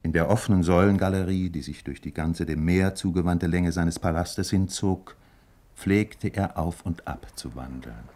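A man narrating a 1950s German radio drama as a measured, reflective storyteller with literary phrasing. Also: deep voice, a little mellow.

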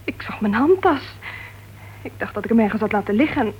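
Actress as a distraught woman, rather high voice. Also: animated, fairly quick, close to an everyday voice. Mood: shaken, explaining. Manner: plaintive, dramatic, sighing exclamations. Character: distraught female club committee member, a crime novelist. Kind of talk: Dutch radio play acting. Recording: dull, noisy old radio play recording.

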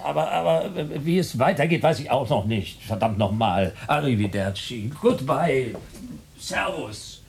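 An actor in a German radio play, playing a once-stuttering comedy figure who now calls himself a philosopher and the angel of death, speaking in theatrical declaiming rhymes. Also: male voice, medium pitch, expressive, unhurried, vocal fry, slight background noise. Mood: smug. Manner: theatrical, declaims rhymes, switches languages in farewells. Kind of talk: 1990s radio play acting.

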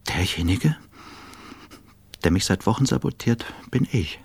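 Anxious guy's inner monologue, radio drama, husky n gravelly, brooding, self-blaming. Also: on the low side, animated, measured pace, no room sound, full character voice. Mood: self-accusing. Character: anxious, self-accusing male business traveller, inwardly narrating.

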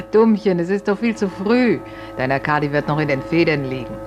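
An actress in a 1950s German radio play, playing a motherly, practical confidante who soothes and gently chides. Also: fairly low pitch, normal speed, music in the background, lightly acted. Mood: soothing.

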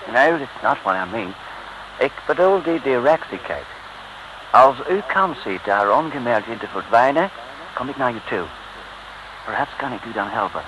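A man's voice: medium pitch, expressive, moderate pace, close to an everyday voice. Man playing a courteous British inspector, noisy recording. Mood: polite, secretive. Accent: English. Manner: polite, hesitant, mixing English into Dutch. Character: courteous British male police inspector working undercover. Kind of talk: 1950s-60s radio serial acting. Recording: noisy old radio recording.

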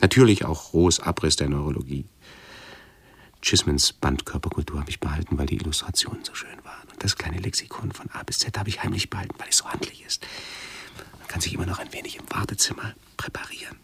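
Low-voiced, fussy, anxious hypochondriac. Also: male voice, creaky, whispered. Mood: fussy, confiding. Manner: halting, brooding, fussily precise. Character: anxious, pedantic male hypochondriac with obsessive self-observation. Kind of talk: radio drama monologue and dialogue.

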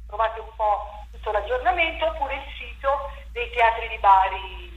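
A woman playwright and director giving an interview about her stage play, talking in an animated way and restarting her sentences often as she thinks aloud. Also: a little high, normal speed, muffled recording. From Italy. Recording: echoey room with faint noise.

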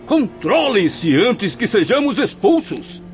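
An actor playing an alarmed, proper, pleading peacemaker in a Brazilian radio drama. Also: male voice, high-pitched, very animated, slow pace, full character voice. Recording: muffled radio drama with faint music.

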